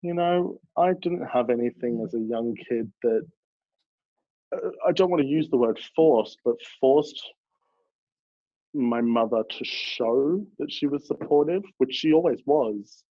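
A man's voice: mid-range voice, measured pace, no echo. Earnest young Australian man, dry lo-fi. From Melbourne, Australia. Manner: earnest, reflective, with frequent fillers. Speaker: guest, drag performer. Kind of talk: casual podcast interview.

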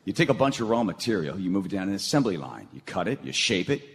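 A man's voice: deep voice, big pitch swings, quick pace, gravelly, exaggerated voice. An actor playing an earnest, eager young man in a lighthearted radio play. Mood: earnest, persuasive. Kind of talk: lighthearted radio theatre acting. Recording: noisy, reverberant hall stage recording.

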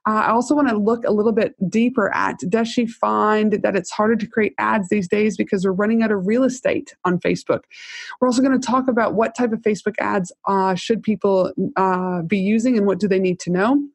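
A woman's voice: mid-range voice, fairly even intonation, brisk, breathy edge, no room sound. A woman hosts a business podcast. She gushes with enthusiasm and sounds salesy. The recording is dry and close, with no room sound. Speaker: podcast host, business coach. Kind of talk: podcast intro and interview.

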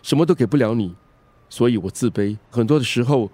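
An actor playing a repentant middle-aged ex-husband in a radio drama, earnest, conciliatory and pleading. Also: male voice, medium pitch, a little fast, mellow, dry recording, natural voice. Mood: remorseful, pleading. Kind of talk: Chinese radio drama acting.

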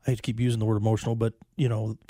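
A man who talks fast and eagerly in a mellow voice, rambling through his points. The sound is close and dry. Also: lowish voice, level tone, no room sound. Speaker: sports talk show co-host. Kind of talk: casual sports radio chat.

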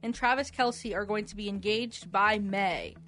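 A woman's voice: mid-range voice, moderate pace, a bit bright. A woman co-hosting a radio quiz, reading trivia questions in a conversational way.

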